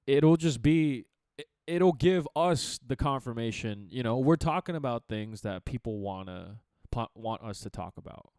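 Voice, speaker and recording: A young man co-hosting a casual podcast chat, with a deep radio-type voice, animated and quick to laugh. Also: no room sound, studio quality. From Chicago area. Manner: animated, laughs often, talks in bursts with restarts. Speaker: podcast co-host.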